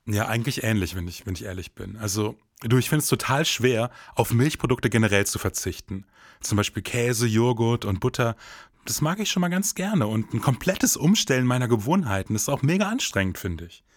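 A man's voice: medium pitch, animated, fairly quick, dry recording, clean studio sound. A man in his forties co-hosting a podcast, moving between scripted narration and conversation. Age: 40s. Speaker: podcast co-host.

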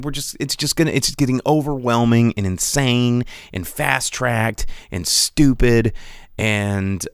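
Animated rambling male podcast host. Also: fairly high pitch, clean tone, no echo, clean studio sound. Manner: rambling, animated rants with many restarts. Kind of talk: casual solo movie commentary.

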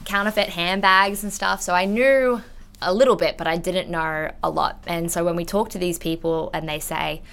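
A woman's voice: fairly low pitch, steady tone, normal speed, creaky, a bit bright, clear, resonant tone. A woman in her twenties with an Australian accent, talking candidly and informally in a conversational interview. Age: 20s. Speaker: intellectual property investigator, podcast guest.

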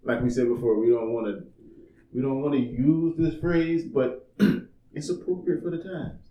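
A man's voice: medium pitch, fairly even intonation, moderate pace, slight background noise. A man co-hosting a podcast in a casual, conversational style. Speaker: podcast co-host. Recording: dull, echoey room recording.

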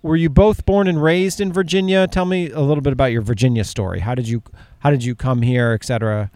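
A man's voice: medium pitch, fast, darkish tone, smooth, no room sound. Dry-sounding enthusiastic male host. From upstate New York. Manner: stumbling, enthusiastic questioner. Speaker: podcast host. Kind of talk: casual interview.

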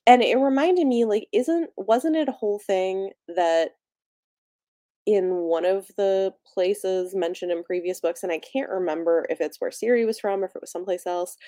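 A woman's voice: lowish voice, a little slow, dark tone, dry recording, clean studio sound. A woman podcast host in a casual, chatty book discussion, musing aloud and prone to giggling.

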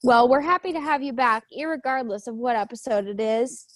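Woman in her twenties, co-host, joking, a bit higher voice, dry close sound. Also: brisk, no room sound. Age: 20s. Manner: joking, easygoing. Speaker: podcast co-host. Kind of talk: casual chat.

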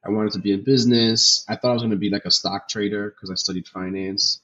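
Young guy from jersey rambling on a podcast, bright kinda husky voice, lotta "you know"s. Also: fairly low pitch, fairly even intonation, fast talker, dull audio. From New Jersey, USA. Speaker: podcast guest, filmmaker. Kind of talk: casual interview chat.

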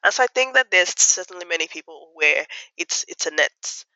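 A woman from Nigeria giving thoughtful interview answers as a guest, measured and reflective with fillers. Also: lowish voice, lively intonation, bright, forceful tone, no echo, lo-fi sound. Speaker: guest economist.

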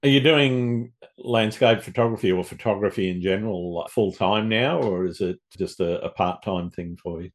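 A man's voice: lowish voice, normal speed, few pauses. A man hosting a podcast interview, asking long, meandering questions. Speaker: podcast host.

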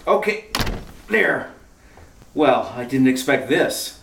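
Woman doing a low wisecracking detective guy, lively, far off in an echoey room. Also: gravelly, exaggerated voice. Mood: dry. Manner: breezy, wisecracking, brisk. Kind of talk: amateur retro radio drama acting. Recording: echoey room, off mic, faint noise.

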